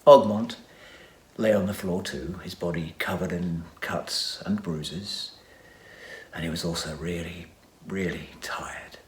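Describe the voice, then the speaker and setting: A man narrating a children's adventure story with dramatic reading and hushed asides. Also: low voice, steady tone, slow, a little hiss or hum. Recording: echoey room.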